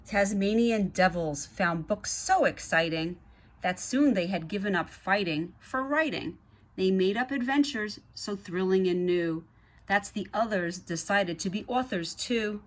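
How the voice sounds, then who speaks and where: Woman reading a picture book slowly. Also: mid-range voice, poor audio. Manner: measured, addresses young listeners directly. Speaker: guest storybook reader. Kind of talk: children's picture book read-aloud with asides to children.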